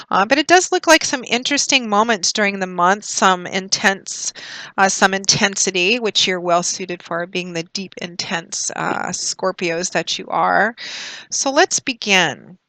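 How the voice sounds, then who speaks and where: A woman giving a monthly tarot reading, recovering from a cold and slightly raspy, explaining cards in a meandering way with frequent fillers. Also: on the low side, pushed and bright, no echo, low-quality recording. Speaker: tarot reader.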